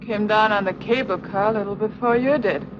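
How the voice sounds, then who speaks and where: A woman's voice: brisk, over music, close to an everyday voice. An actress playing a cool, duplicitous young female spy, composed and slightly formal, in an early 1950s TV drama. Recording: muffled, noisy vintage TV soundtrack, vehicle rumble.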